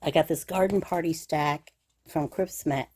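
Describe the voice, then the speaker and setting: Low-voiced chatty craft host. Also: female voice, a little slow, a little mellow. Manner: chatty and gushing, with frequent fillers. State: slightly scratchy voice from a cold. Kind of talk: casual crafting show-and-tell.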